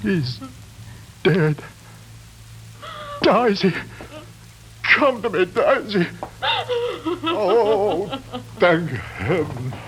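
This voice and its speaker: High-voiced, flustered husband. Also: male voice, very animated, very slow, long pauses, a bit bright, heavily acted. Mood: shaken. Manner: stammering, agitated calling out. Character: flustered frightened husband, anxious father. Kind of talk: 1940s radio drama acting. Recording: noisy vintage radio recording.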